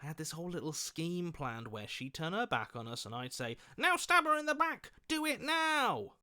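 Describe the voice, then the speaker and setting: A man voicing a scheming, flattering, self-serving opportunist in a video game, with a smarmy, wheedling, theatrical delivery. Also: a little high, moderate pace, heavily acted. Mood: scheming, defensive. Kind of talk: video game character voice lines.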